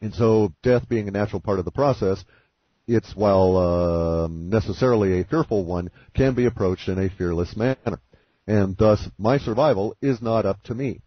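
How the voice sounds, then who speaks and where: A man on a conference call giving a deliberate, philosophical explanation in a calm, speculative way. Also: on the low side, dry recording. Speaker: guest speaker on a conference call. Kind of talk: measured explanatory monologue. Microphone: phone line. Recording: muffled phone-call audio.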